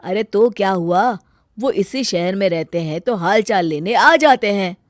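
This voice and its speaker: Woman voicing calm father-in-law for a cartoon, mild, reproachful. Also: mid-range voice, measured pace, dry recording, studio quality, close to an everyday voice. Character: calm older father-in-law, patient husband.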